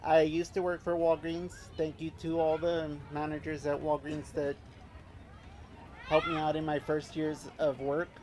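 A man's voice: on the high side, level tone, measured pace, darkish tone, a little hiss or hum. A man in his late thirties giving an earnest personal testimony at an outdoor park event, speaking into a stand mic in loosely strung thoughts. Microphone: handheld mic on stand. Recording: faint outdoor ambience.

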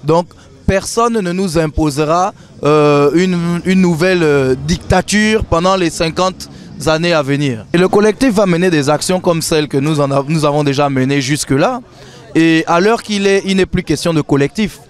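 A man's voice: a little high, moderate pace. A man in his thirties, an activist from Gabon, speaking firmly and insistently into a handheld mic on a city street at night. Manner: firm, insistent, repeats his points. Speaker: activist interviewee. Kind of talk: street interview statement. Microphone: handheld reporter mic.

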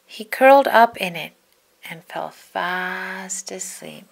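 A woman narrating a children's storybook in an expressive, deliberate storytelling manner. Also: mid-range voice, gravelly, a little hiss or hum, rough recording. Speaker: storybook read-aloud narrator.

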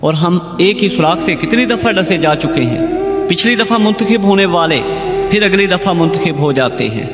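A man's voice: high-pitched, animated, normal speed. A man playing an impassioned asylum escapee in a radio satire, declaiming and pleading against corrupt politics. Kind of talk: radio drama satirical oratory. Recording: echoey hall reverb, loud music behind.

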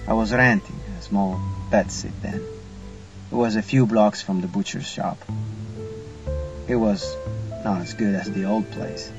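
A man plays a haunted old grandfather in an audiobook drama. His voice is low and creaky, reflective and wistful. Music plays behind the slightly muffled recording. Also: steady tone, a little slow, pauses often, recorded from a distance. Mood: reminiscing.

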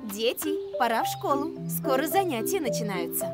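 A woman voicing a dreamy, kindly young mother in a children's cartoon, expressive and sing-song. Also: sits high, moderate pace, loud music behind the voice. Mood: cheerful.

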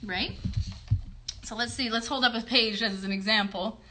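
A woman's voice: a little high, moderate pace, sharp tone. A woman in her thirties hosting a chatty children's livestream in an encouraging, child-directed way, recorded on a phone in a bedroom. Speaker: host, puppeteer. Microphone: phone at arm's length.

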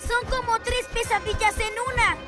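An excitable small child from an animated superhero show. The voice is extremely high and exclamatory. Prominent music plays behind it. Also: female voice, moderate pace. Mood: urgent. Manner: emphatic, exclamatory. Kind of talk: Spanish-dubbed children's cartoon acting.

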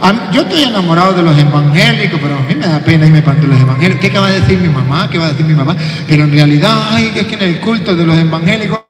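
A middle-aged man, a priest, preaching an animated sermon into a handheld mic. He acts out quotes and swings from soft to shouting. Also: on the high side, rapid-fire, hardly any pauses. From Venezuela. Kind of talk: lively sermon. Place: large church, indoors. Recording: echoey church from a distance.

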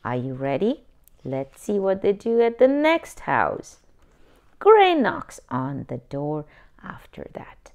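A woman's voice: mid-range voice, huge pitch swings, slow, a little mellow. A woman hosting her own channel, reading a children's picture book aloud at a table with playful character voices. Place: indoors at a table, hands over a book.

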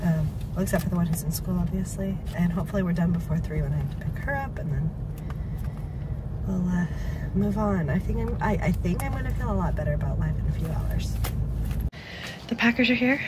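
A woman's voice: fairly low pitch, brisk, very noisy. A woman in her thirties, a mother, chatting to the camera in a casual vlog while losing her voice, sounding frazzled and self-deprecating. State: losing her voice, sore throat. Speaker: vlogger and mother. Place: home and parked car. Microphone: phone held at arm's length.

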